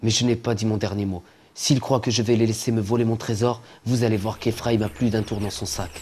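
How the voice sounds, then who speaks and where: A man's voice: fairly low pitch, level tone, brisk, a little mellow, slight background noise, lightly acted. An actor playing a greedy, cowardly, scheming man in a French-dubbed cartoon. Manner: placating and pleading aloud, conspiratorial when plotting alone. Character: greedy cowardly scheming male treasure finder. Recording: music under the voice.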